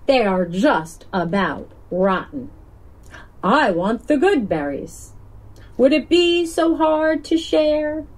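A woman's voice: high-pitched, very animated, slow pace, heavily acted. A woman voicing a big, fed-up turtle with a complaining, declarative manner in a picture book. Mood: sour, wistful.

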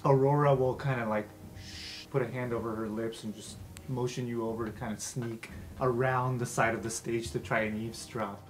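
A man's voice: medium pitch, steady tone, moderate pace. A man narrating an improvised tabletop game as game master, casual and sweary. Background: music.

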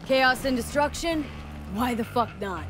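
A woman's voice: high-pitched, measured pace, fairly bright tone, slight background noise. An actress playing a wry, tough young female mercenary in a video game, with a dry, blunt, skeptical manner. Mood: incredulous, game.

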